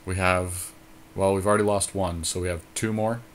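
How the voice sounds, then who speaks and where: A man's voice: low voice, fairly even intonation, moderate pace, slight background noise. A man in casual gameplay commentary, analytically talking through his decisions as a podcast co-host. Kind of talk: casual gameplay commentary on a card game draft.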